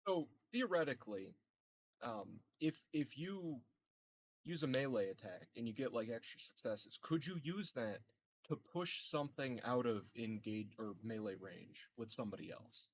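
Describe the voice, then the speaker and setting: Halting man with a mid-pitched voice. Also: expressive. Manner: tentative, halting questioning. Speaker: tabletop game player. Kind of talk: casual chat.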